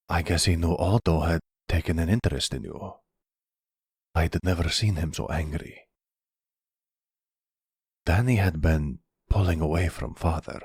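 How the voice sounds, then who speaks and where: A man playing a timid, wounded young mobster son with a Russian accent, speaking haltingly and formally in an ASMR roleplay drama. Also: sits low, creaky, slightly rough, no room sound, studio quality, exaggerated voice. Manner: halting, formal phrasing without contractions.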